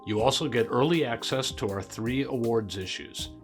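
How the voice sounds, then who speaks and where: A man delivering a scripted subscription pitch as a magazine executive. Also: moderate pace. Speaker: magazine executive making a promo. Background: music.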